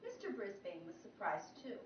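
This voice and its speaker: A woman teacher reading a children's chapter book aloud, animated, with her pitch jumping for the characters. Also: moderate pace. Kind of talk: storybook read-aloud. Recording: roomy, distant, dull sound with faint noise.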